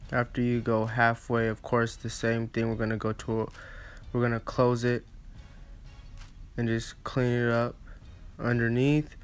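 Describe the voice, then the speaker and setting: Clear, even-toned man giving casual instructions. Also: mid-range voice, a little slow, pauses often, faint music behind. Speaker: barber giving a tutorial. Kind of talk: casual step-by-step instructional narration.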